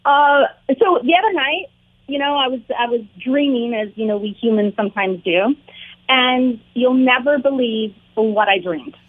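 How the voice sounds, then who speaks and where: A chatty woman co-hosting a talk show, bubbly and quick to laugh. Her voice sits a little high and sounds slightly husky, and it comes through a thin, tinny phone line. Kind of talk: casual on-air chat.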